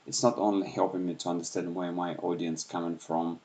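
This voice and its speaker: A man from Ukraine speaks in a Russian accent, his voice very low. He talks softly and unscripted with many ums and restarts, recorded at home in the early morning. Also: flat delivery, fairly quick. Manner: speaks softly with frequent hesitations. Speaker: YouTuber. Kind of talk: unscripted livestream talk.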